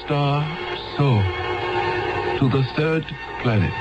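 An actor playing a cold, commanding alien master in a 1970s radio drama, speaking in slow incantations. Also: male voice, fairly high pitch. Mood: cold, solemn. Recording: dull 1970s radio broadcast, music behind.

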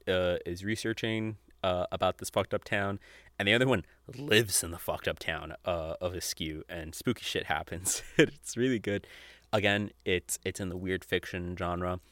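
A man's voice: low-pitched, fairly bright tone, no room sound. A man in his twenties hosting a podcast, talking informally and unscripted with some swearing and frequent chuckling. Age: 20s. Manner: informal, profane, chuckles often while talking. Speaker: podcast host. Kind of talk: casual, unscripted podcast recommendations.

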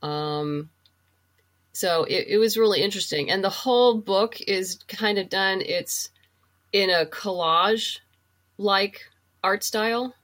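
A woman's voice: mid-range voice, takes it slowly, fairly bright tone. A woman being interviewed as a podcast guest, explaining things thoughtfully in a casual, conversational way.

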